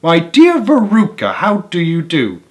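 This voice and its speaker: A man acts out an eccentric little chocolate-maker in a storybook. He is bubbly and excitable, gushing pleasantries in an exclamatory, slightly raised voice. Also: fairly high pitch, pitched above the performer's own voice. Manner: effusive, exclamatory, rapid strings of pleasantries. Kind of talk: storybook read-aloud character voice.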